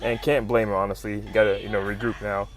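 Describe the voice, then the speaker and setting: A man's voice: fairly low pitch, flat delivery, quick pace. Slangy man hyping a recap over music. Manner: slangy, sweary, fannish exclamations. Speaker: YouTube comic recap narrator. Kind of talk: casual hype commentary, retelling comic fights. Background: music under the voice-over.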